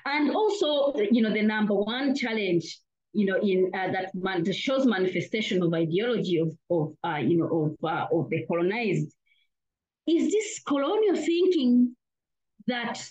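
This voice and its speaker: Academic guest, woman from Uganda, mid pitch, earnest and full of fillers, muffled lo-fi sound. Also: very animated, moderate pace, darkish tone. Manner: earnest, with frequent "you know" fillers and restarts. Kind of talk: interview answer. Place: lives in Australia.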